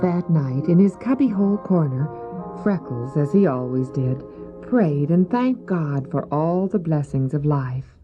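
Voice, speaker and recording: A woman's voice: deep voice, lively intonation, a little slow, over music. A woman narrating a children's audio drama in a scripted storybook style. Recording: dull old cassette tape.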